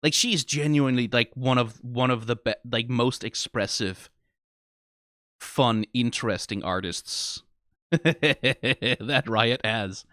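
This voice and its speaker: A man with a medium-pitched voice hosts an unscripted reaction video. He sounds tired and talks informally, swearing for emphasis and often halting or trailing off mid-thought. The sound is dry, with very little room in it. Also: pushed and bright, no echo. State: tired after being awake for twenty hours. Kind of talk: off-the-cuff reaction commentary.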